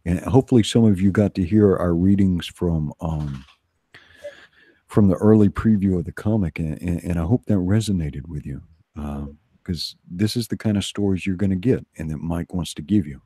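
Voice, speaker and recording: A man's voice: low voice, normal speed, warm tone, husky, no echo. A man co-hosting a casual livestream, talking emphatically and repeating phrases for weight. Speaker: co-host. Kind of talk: casual livestream pep talk.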